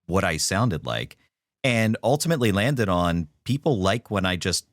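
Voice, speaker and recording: Man, philadelphia accent, very deep voice, lively swooping delivery, dry close sound, podcast guest. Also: normal speed, no room sound. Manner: conversational and playful, laughs often. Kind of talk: casual podcast chat. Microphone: remote call.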